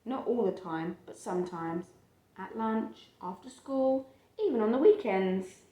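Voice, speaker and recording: A woman's voice: mid-range voice, animated, measured pace, dark tone, echoey room. A woman reading a picture book aloud to a child, stopping to explain the pictures simply and acting out the quotes. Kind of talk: storytime read-aloud with asides to a child.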